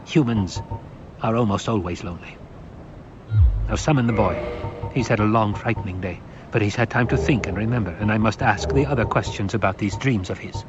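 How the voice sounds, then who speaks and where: A man's voice: fairly low pitch, dull audio, music in the background, close to an everyday voice. Man voicing a stern, commanding old woman. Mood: stern, probing. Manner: solemn, commanding, deliberate. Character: stern, probing old woman of a religious order.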